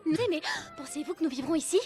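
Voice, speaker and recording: A woman voicing an eager, chatty, impulsive young princess in an animated film dub. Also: high voice, quick pace, faint music behind, close to an everyday voice. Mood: excited.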